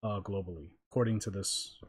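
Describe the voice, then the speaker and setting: A man hosting a casual group discussion, reading out details in a measured way. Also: deep voice, fairly even intonation, breathy edge, no echo, clean studio sound. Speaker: podcast host.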